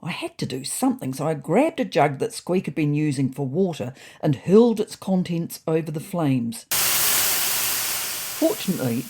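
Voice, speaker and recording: A woman narrating a storybook diary as a fussy, indignant male house cat, in a wry, prim, deadpan manner. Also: low-pitched, husky. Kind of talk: first-person storybook narration.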